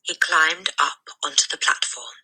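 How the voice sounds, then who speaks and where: Bright, expressive woman storyteller. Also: sits low, measured pace, breathy edge, low-quality recording. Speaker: teacher reading aloud. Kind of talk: expressive children's storybook narration.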